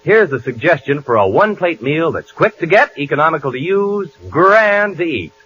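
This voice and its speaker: A man's voice: on the high side, lively intonation, moderate pace, fairly bright tone, slight background noise. A man announcing and playing comedy on 1940s radio in a bouncy, salesman-like manner. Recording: muffled 1940s radio broadcast.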